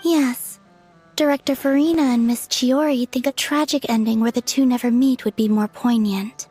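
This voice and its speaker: A woman voicing a gentle young woman in a video game who speaks softly and hesitantly, sometimes drifting into a whisper. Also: fairly high pitch, steady tone, normal speed, breathy edge, faint music behind. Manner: soft and hesitant, trailing into whispers.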